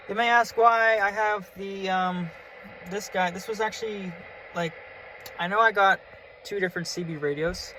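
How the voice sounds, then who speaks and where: A man's voice: high-pitched, bright, forceful tone, slight background noise, poor audio. A man giving a casual, chatty, enthusiastic show-and-tell as a hobbyist vlogger. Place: in and around a pickup truck.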